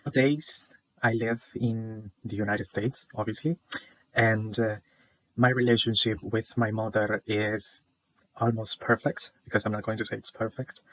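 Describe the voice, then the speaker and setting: Shy young salvadoran guy presenting for class, flat voice, lots of uhs, dull dry recording. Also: fairly low pitch, unhurried, dry recording. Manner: hesitant, many fillers, shy. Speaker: student presenter.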